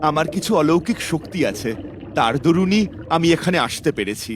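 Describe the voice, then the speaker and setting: A man's voice: on the high side, lively intonation, normal speed, noisy background, natural voice. A man voicing a brave, resolute young hero in a fairy-tale cartoon, with a declamatory, determined delivery.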